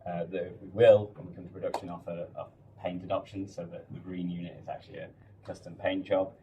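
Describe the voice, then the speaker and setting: A man giving an informal technical product presentation as a design lead, haltingly, often repeating words. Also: sits low, level tone, dark tone, muffled recording. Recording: distant mic, faint room noise.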